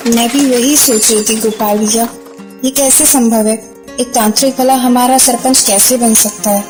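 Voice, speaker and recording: A woman's voice: a little high, fairly even intonation, moderate pace, over music, lightly acted. A woman playing a worried, doubting village woman in a cartoon. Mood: doubtful. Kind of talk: animated cartoon story acting.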